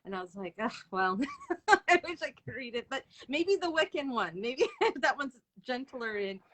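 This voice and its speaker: A woman's voice: sits high, very animated, normal speed, fairly bright tone. A woman hosting a casual, informal live interview, chatting freely and often laughing as she talks. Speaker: interview host.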